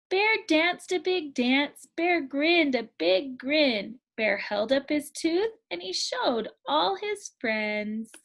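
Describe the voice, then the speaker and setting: Slow, high-voiced woman reading a storybook. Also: fairly bright tone. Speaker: teacher. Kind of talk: reading a picture book aloud to children.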